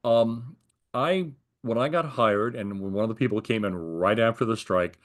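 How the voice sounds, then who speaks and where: An older man with an American accent speaks in a fairly low voice. He recounts things conversationally, as an interviewee would, and explains them in detail. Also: moderate pace. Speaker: retired air traffic controller being interviewed.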